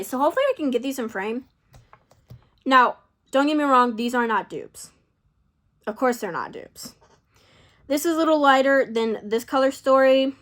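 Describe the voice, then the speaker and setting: Young woman vlogger, slightly high, chatty and rambling, voice worn from long talking. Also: a bit bright. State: losing her voice. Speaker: beauty vlogger. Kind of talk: casual chat to camera.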